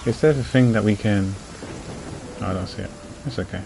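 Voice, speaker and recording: A man with a slightly low voice streams a game. He talks informally, musing aloud in casual commentary, with faint noise in the background. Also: fairly quick, gravelly.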